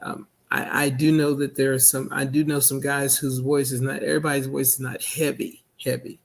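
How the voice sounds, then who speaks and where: A man with a soft, not very deep voice, answering interview questions over a video call in a hesitant, halting way. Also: level tone, creaky, slight background noise. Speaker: guest, minister.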